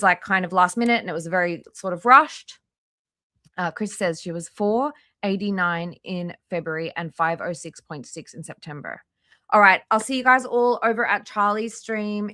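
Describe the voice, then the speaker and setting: A woman's voice: medium pitch, pushed and bright, no room sound, clean studio sound. A woman hosting a casual reaction livestream, reading aloud in an informal way with offhand asides. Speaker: livestream host.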